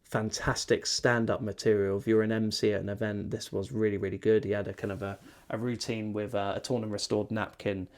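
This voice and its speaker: A man's voice: fairly low pitch, level tone, fairly quick, few pauses. Chatty man rambling with ums. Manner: chatty, lots of ums and self-corrections. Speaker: magician reviewing a convention. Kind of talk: casual rambling recap.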